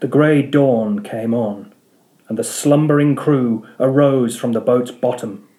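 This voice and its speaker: A man's voice: mid-range voice, unhurried, dark tone, reverberant. A man reading literary prose aloud as scripted audiobook narration. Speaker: audiobook reader.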